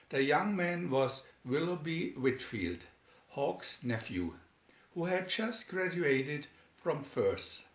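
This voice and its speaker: A man reading a children's booklet aloud as scripted story narration. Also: mid-range voice. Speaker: booklet reader. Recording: dull, roomy home recording.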